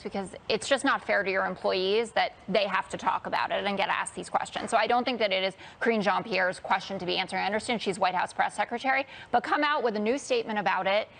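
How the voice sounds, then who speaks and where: A woman's voice: mid-range voice, vocal fry, fairly bright tone. An American woman giving her opinions as a panelist in a television political debate. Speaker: panelist on a TV political talk show.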